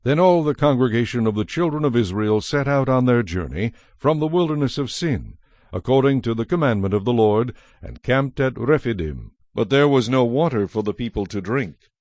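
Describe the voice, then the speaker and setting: A man narrating scripture from a script as a solemn, measured storyteller. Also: mid-range voice, expressive, darkish tone, no room sound.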